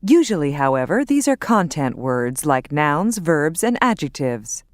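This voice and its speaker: A woman narrating textbook audio, announcing units and instructions slowly and clearly. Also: sits low, very animated, dry recording, studio quality. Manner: slow, deliberate, clearly enunciated. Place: recording studio.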